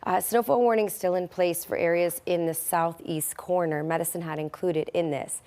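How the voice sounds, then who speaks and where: Casting: female weather presenter, voice giving out, lowish and mellow, off-the-cuff delivery. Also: fairly even intonation, normal speed. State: losing her voice. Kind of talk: unscripted weather report.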